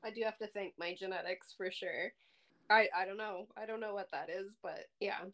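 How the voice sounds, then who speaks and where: A woman's voice: mid-range voice, steady tone, normal speed, fairly bright tone. A woman in her thirties with a young-sounding voice, chatting casually and playfully as a podcast co-host. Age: 30s. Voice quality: youthful-sounding. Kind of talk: casual chat.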